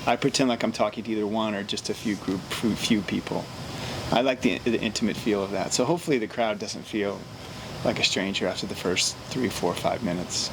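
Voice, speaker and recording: A middle-aged man from Virginia, a comedian, chatting casually in an interview, relaxed and chuckling as he thinks aloud. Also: lowish voice, a little fast. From Virginia, USA. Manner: relaxed, chuckles, repeats words while thinking. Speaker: comedian guest. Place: on board a cruise ship. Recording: noisy background on a ship.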